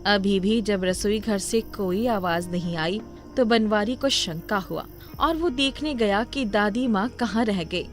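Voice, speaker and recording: A woman's voice: medium pitch, a little slow, soft background music. A woman reading scripted narration for a moral story as its storyteller.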